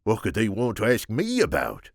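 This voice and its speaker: A man voicing a tiny, henpecked old farmer with a halting, bewildered manner. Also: fairly low pitch, wildly swooping pitch, gravelly, no room sound, studio quality, pitched above the performer's own voice, exaggerated voice. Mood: alarmed.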